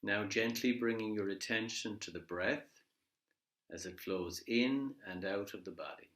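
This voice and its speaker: Low-voiced man guiding meditation, echoey room. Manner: measured, gently instructive, with pauses. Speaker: meditation guide. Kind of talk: guided body scan meditation.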